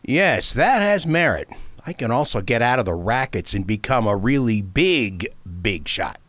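A man's voice: medium pitch, very animated, a little slow, a bit bright, no echo. An actor playing a cocky, ambitious male racketeer in a radio drama, brash and needling. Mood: cocky, eager.

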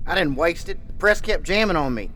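Man acting a whiny, high-voiced bickering crook. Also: lively intonation, a little fast, sharp tone, slight background noise. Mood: defensive, irritated. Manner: indignant, argumentative, self-justifying. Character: defensive, whiny, bickering male counterfeiter henchman. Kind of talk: audio drama acting.

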